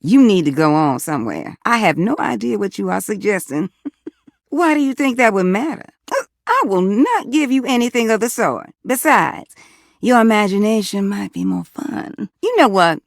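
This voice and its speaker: A woman's voice: mid-range voice, sing-song, moderate pace, vocal fry, no room sound, studio quality. A woman voicing a flirty, teasing, gossipy household appliance in a video game, with a Southern US accent and sweet-talking manner. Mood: flustered, teasing. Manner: sweet-talking, teasing, drawling terms of endearment. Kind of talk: video game character voice acting.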